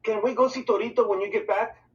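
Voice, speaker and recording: Man voicing an anxious, high-pitched young boy. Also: fairly even intonation, quick pace, natural voice. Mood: anxious, pleading. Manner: urgent, pleading questioning. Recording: distant mic, dull lo-fi sound.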